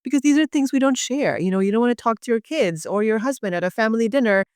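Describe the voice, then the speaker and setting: A woman's voice: a little high, animated, fast talker, a little mellow, clean tone, no echo, clean studio sound. A woman from Bangladesh, a urogynecologist guest, explaining pelvic health in an earnest, passionate conversational way with many fillers.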